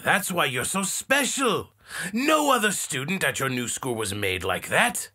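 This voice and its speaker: A man playing a gentle, awkward scientist father in an audio drama, husky and hesitant, earnest in manner. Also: on the high side, very animated, measured pace, full character voice. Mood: proud. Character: gentle, awkward scientist father who builds a monster daughter. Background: faint music.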